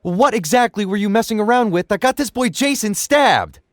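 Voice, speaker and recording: A worried dad played by a man speaks in a high, very animated voice, recorded dry. He is insistent and probing, in the manner of audio drama. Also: moderate pace, no echo. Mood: suspicious. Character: worried, suspicious father questioning teenagers.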